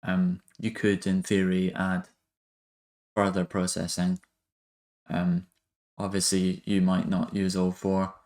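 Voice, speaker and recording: A man's voice: deep voice, completely flat delivery, slow pace, long pauses, a little mellow. A man narrating a music production tutorial in a casual, hesitant, improvised way.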